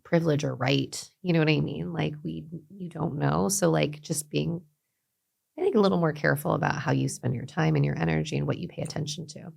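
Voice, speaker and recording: A middle-aged woman, a guest talking reflectively in an interview, with many restarts and soft trailing endings. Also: low-pitched, steady tone, a little fast, gravelly, husky. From Los Angeles, USA. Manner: thoughtful, many fillers and restarts, trailing softly. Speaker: guest, beauty brand founder.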